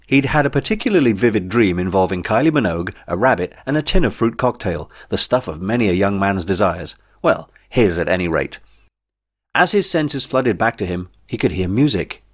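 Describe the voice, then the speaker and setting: A man's voice: on the low side, breathy edge. A British man reading a comic novel as audiobook narration in a wry, droll way. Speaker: author reading own novel.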